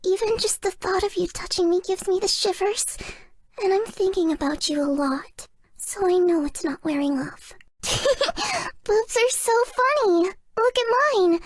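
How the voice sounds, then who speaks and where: A woman voicing a shy, sweet, excitable young female fox spirit for a video game, with a cutesy girlish voice. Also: extremely high pitch, measured pace, no room sound. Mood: shy, playful. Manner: bashful, earnest, playful. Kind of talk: video game character voice lines.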